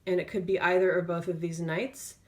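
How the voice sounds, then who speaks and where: A woman tarot reader speaking off the cuff in an intuitive reading, feeling her way through phrases with drawn-out pauses. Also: on the low side, flat delivery, a little mellow.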